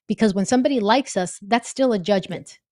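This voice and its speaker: A woman's voice: mid-range voice, fairly quick, a little mellow, no room sound. Earnest teacherly woman, dry sound. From born in Costa Rica, raised in New Jersey. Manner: earnest, emphatic teacherly explaining. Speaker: guest, professor teaching on-camera confidence. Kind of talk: interview answers.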